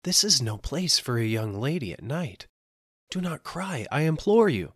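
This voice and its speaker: A man's voice: mid-range voice, sing-song, moderate pace, slightly rough, no room sound, clean studio sound, voice pushed up. A kind old merchant, put on by a male storyteller, speaks in a strained, raised voice well above the reader's own. His pleading breaks into panicked gasps. It is a storybook reading. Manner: pleading, then gasping in panic.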